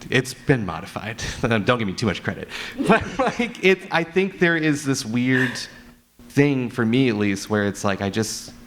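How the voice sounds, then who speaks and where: A man's voice: mid-range voice, big pitch swings, measured pace. A man speaking earnestly and off the cuff on a panel as an audio drama creator, rambling and repeating words for emphasis. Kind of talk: unscripted panel talk. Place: live convention panel. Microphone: wired panel microphone.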